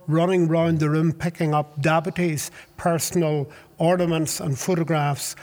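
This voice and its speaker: An older man with a Northern Irish accent, telling his life story in an interview in a measured, hesitant way. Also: fairly high pitch, a little mellow, big-room sound. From Northern Ireland. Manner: measured, hesitant with fillers and restarts. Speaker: interviewee, Baptist minister. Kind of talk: reflective storytelling.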